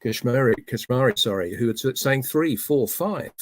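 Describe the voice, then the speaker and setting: A man's voice: mid-range voice, expressive, moderate pace, a little hiss or hum. An academic man speaking on an online panel in an earnest, emphatic lecturing manner.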